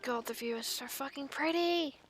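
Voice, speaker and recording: A woman's voice: high voice, moderate pace, faint music behind. A woman streaming a game with casual, playful commentary, talking back to it as she plays. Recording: noisy live stream capture.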